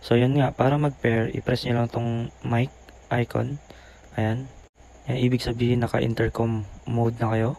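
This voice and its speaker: A Filipino man narrates a casual review in a subdued, gravelly voice with fairly even intonation. He keeps his volume down, and a faint noise sits behind him. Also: medium pitch, unhurried, long pauses, low-quality recording. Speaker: product reviewer. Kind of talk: casual voice-over review mixing Tagalog and English. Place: boarding house room, voice-over.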